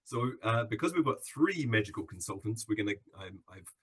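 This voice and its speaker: New Zealand man, upbeat chatty webinar host, husky lowish voice. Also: very animated, brisk, fairly bright tone. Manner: upbeat, chatty, with many fillers. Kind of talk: live webinar hosting. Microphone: video call.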